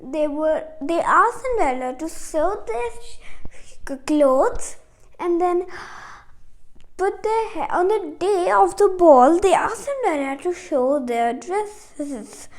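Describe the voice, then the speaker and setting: Very high-pitched, childlike female storyteller. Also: expressive. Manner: halting, repeats and stumbles over words, dramatizes dialogue. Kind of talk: retelling a fairy tale aloud from memory, unscripted.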